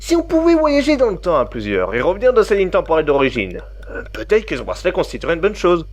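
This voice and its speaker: Indignant man with animated, swooping delivery acted. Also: high-pitched, quick pace, soft background music, exaggerated voice. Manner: heated, reproachful. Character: indignant man arguing at home, named Peter. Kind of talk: acted dialogue in audio drama.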